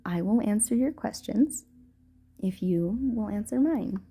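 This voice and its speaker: A woman playing a gentle, playful ghost girlfriend in an intimate romantic audio roleplay, speaking softly and formally with halting hesitations. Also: mid-range voice, animated, husky. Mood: teasing, curious. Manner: soft, hesitant, formal wording with halting stammers. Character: gentle playful ghost girlfriend, shy, tender, old-fashioned speech.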